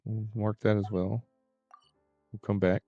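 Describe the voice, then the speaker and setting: A man livestreaming a game and chatting casually with viewers in a halting, informal, repetitive way. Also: fairly low pitch, level tone, warm tone, dry recording, highs cut off, soft background music. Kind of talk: casual chat with stream viewers.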